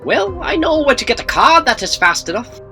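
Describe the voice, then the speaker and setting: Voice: high-pitched, big pitch swings, a little fast, over music. A performer voicing a pedantic artificial-intelligence helper in a comic dub, precise and lecturing with technical language. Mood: brisk, instructive.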